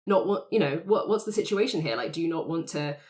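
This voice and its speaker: A woman's voice: deep voice, fast talker, a little mellow, natural voice. A woman playing a self-assured rookie private investigator in a tabletop roleplay, questioning in a suspicious, pressing way. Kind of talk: improvised tabletop roleplay acting.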